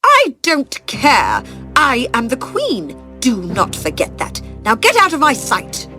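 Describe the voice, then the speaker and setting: A woman's voice: high voice, wildly swooping pitch, unhurried, over music, voice pushed up, full character voice. A woman voicing a scheming, theatrical noblewoman and stepmother queen in a fairy-tale storybook. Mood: cold, commanding. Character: scheming noblewoman, falsely tender, turned cruel stepmother queen.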